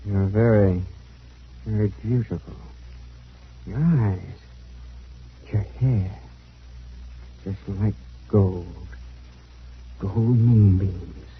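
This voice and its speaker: An actor playing an eerie, lonely young man with a halting, fixated manner in an old radio horror play. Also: on the low side. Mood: eerie, entranced. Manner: slow, halting, brooding speech. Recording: dull muffled old radio recording, hissy.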